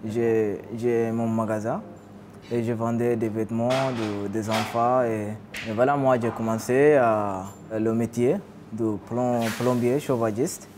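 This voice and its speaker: A man from Sudan, now a refugee trainee, gives his testimony in short, halting sentences. A faint hiss of room noise runs under him. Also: medium pitch, steady tone. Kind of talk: testimony interview.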